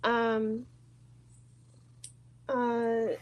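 A woman's voice: a little high, monotone, extremely slow, muffled recording. A woman with an American accent, a native English speaker and beginner in French, hesitantly and shyly searching for each word. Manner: hesitant, nervous, shy, searching for words. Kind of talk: halting conversation practice.

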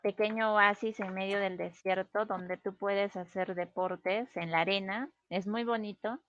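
A woman's voice: mid-range voice, steady tone, a bit bright, highs cut off, slight background noise. A woman from Peru with a Peruvian Spanish accent, chatting casually and explaining things patiently. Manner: slow, patient, explains with pauses. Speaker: conversation partner. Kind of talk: casual conversation.

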